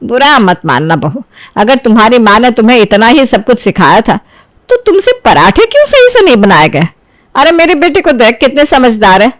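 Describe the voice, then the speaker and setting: A woman's voice: a little high, sing-song, moderate pace, no echo. A woman voicing a critical older mother-in-law in a family story, scolding and boastful. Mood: boastful, reproachful. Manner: scolding, reproachful. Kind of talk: acted dialogue in a Hindi family storybook tale.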